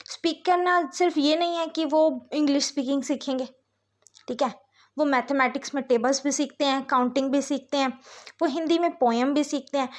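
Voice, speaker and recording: A woman with an Indian accent giving an explanatory lecture as a teacher, mixing Hindi and English and often checking that listeners follow. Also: sits high.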